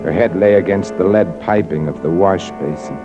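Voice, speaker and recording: A lowish man's voice in a vintage radio play, an actor acting it out: a cold, cynical newspaperman with terse, bitter noir delivery. Music runs strongly behind him, and the old recording sounds muffled. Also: normal speed, lightly acted. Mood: grim. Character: cold, cynical, hard-boiled male newspaper columnist. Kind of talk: 1940s radio drama acting.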